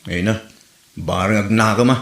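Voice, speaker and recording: A man's voice: lowish voice, steady tone, moderate pace, gravelly, fairly bright tone. A man with an Ilocano Filipino accent playing a nagging, scheming village husband in a comedy skit. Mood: dismissive, teasing. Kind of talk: Ilocano comedy drama acting. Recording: roomy echo, music behind.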